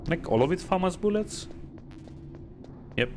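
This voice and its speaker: A man giving casual, halting live commentary on a video game, sounding sleepy and bored. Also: sits high, lively intonation, a little hiss or hum. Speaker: streamer playing a video game. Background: game music.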